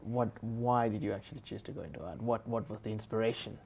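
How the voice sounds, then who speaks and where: A man hosting a television talk show, asking conversational questions in a halting way and often rephrasing as he goes. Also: lowish voice, fairly even intonation, creaky, darkish tone, breathy edge. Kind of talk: conversational interview.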